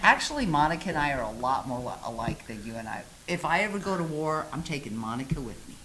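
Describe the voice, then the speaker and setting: Middle-aged woman, loud voice, blunt teasing banter, lively pitch swings. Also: slight background noise, rough recording. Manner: teasing, blunt, quick comebacks. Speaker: co-host. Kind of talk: bantering talk show chat.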